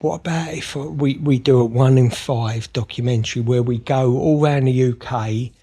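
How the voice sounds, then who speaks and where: Male podcast guest, mid-pitched, open and meandering, stop-start delivery. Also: normal speed, dark tone, breathy edge. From Scotland. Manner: rambling, open, many fillers and restarts. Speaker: podcast guest, therapist and author. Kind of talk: conversational interview.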